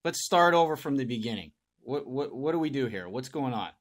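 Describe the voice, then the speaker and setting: A man's voice: mid-range voice, lively intonation, a little fast. A man chatting casually on a prank phone call, stalling and argumentative, repeating himself. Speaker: prank caller.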